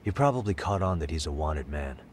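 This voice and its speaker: An American man playing a sharp, composed detective in a game cutscene, speaking in a measured, deliberate way. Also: very deep voice, lively intonation, a little hiss or hum. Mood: serious, analytical. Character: sharp, composed male detective investigating a case. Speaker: video game voice actor. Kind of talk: English dub game cutscene dialogue.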